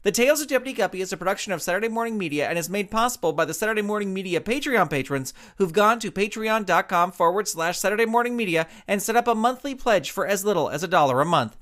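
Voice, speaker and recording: A man's voice: high voice, lively intonation, fast, few pauses, a bit bright. A man reading scripted credits and promos as an announcer, upbeat and addressing children.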